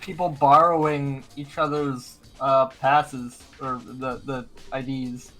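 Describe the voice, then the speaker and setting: A man's voice: medium pitch, level tone, slow, fairly bright tone, faint music behind, rough recording. A young adult man in casual chat on a game stream, hesitant and often stammering over his words.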